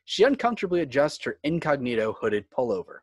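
A man's voice: mid-range voice, big pitch swings, quick pace, no echo. A man reading screenplay stage directions as the narrator of a script table read.

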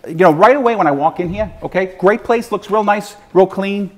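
A man's voice: sits high, fairly quick. A man in his forties hosting a prank, posing as a safety inspector and lecturing warehouse workers in a rambling, mock-serious way inside a large warehouse. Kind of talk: improvised deadpan prank bluster.

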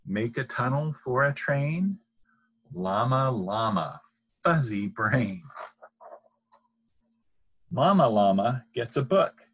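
A middle-aged man with a husky voice reads a children's picture book aloud in a deliberate, sing-song way. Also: medium pitch, animated, fairly bright tone, slight background noise. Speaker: storybook reader. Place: small indoor room.

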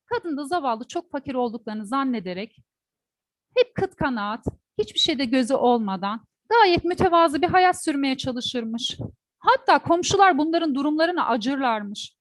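A woman tells a traditional folk tale in a high voice. She is expressive and addresses her listeners directly with questions. The sound is dry and close. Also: moderate pace, dry recording. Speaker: storyteller; teacher. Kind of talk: oral folk-tale storytelling to an audience.

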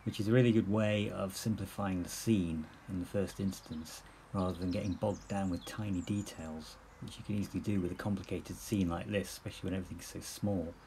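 A man in his fifties explaining his painting outdoors in a sunny churchyard, relaxed and explanatory, with many fillers. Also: sits low, brisk, few pauses, slight background noise. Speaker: painting instructor. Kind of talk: plein air painting demonstration. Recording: outdoor recording with clear birdsong. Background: birdsong and outdoor ambience.

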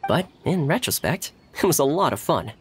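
A friendly young male retainer from a video game. His highish voice moves expressively over faint noise. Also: very animated, normal speed. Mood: good-humored.

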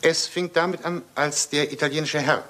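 A man's voice: on the high side, steady tone, normal speed, a bit bright, lightly acted. A male actor playing a formal, discreet manservant in a German radio crime drama, stiff and deferential. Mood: discreet, grave. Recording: faintly noisy old radio play recording.

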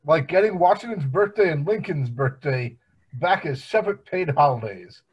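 A man voicing a lazy, grumbling middle-aged cartoon dad with a husky, whining delivery. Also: on the high side, expressive, normal speed, muffled recording. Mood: indignant. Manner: whining, indignant.

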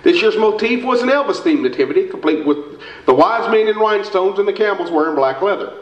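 High, lively folksy radio man acted on stage. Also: fast, dull audio. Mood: amused, animated. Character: folksy small-town radio man, breezy and wheedling. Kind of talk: staged old-time radio comedy acting. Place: theatre stage, indoors. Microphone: stand microphone, camera far off. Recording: roomy stage recording from the audience.

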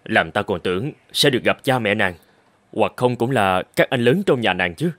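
A man playing a young suitor in an old-time animated fairy tale. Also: lowish voice, moderate pace, no echo. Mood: doubtful.

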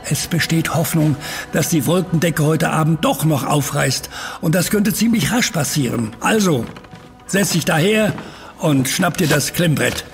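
An intense, earnest uncle who watches the stars in an audio drama. His voice sits high for a man, with soft music underneath. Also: measured pace, creaky. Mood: eager. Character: excitable uncle, amateur astronomer turning gravely resigned. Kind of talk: German audio drama acting.

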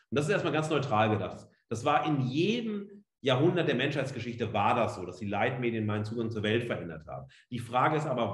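A middle-aged man lectures like a professor on a video call from a roomy, echoing indoor space. His pitch moves around in a very animated way. He explains things conversationally but with emphasis. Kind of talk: online lecture, freely spoken. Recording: roomy, echoing room sound.

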